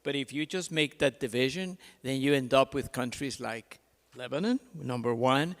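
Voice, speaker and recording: A man's voice: mid-range voice, moderate pace, fairly bright tone. A man moderating a conference panel in an informal, chatty way, often repeating his words. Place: large conference hall. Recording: reverberant hall, PA sound.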